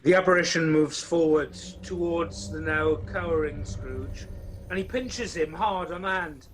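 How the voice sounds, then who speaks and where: A man's voice: a little high, a little slow, a little hiss or hum, lightly acted. A man acting as narrator of a radio play, telling a ghost tale in a dramatic, wry storytelling manner.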